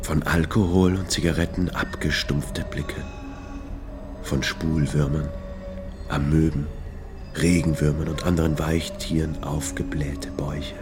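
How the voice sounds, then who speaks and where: An actor playing a weary male writer with a gravelly voice, narrating his misadventures in a literary radio drama. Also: rumbling low. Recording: music behind the voice.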